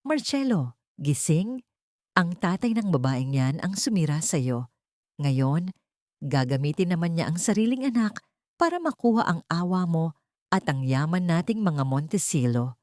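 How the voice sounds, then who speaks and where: Woman as old grandmother villain, cold, insinuating, lowish voice, storybook drama. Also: very animated, unhurried, darkish tone, no room sound, clean studio sound. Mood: scornful, accusing. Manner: insinuating, accusatory. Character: cold, bitter, scheming old matriarch grandmother.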